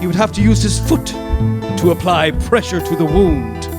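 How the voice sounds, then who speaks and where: A man performing poetry theatrically in a measured bedtime-story manner, as if speaking to small children. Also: sits high, lively intonation, loud music behind the voice.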